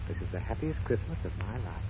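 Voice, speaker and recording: A man playing an affectionate, gently exasperated father with a coaxing, hesitant manner in a 1930s radio comedy. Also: fairly low pitch, expressive, fast, close to an everyday voice. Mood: moved, fond. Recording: scratchy, muffled 1930s radio recording.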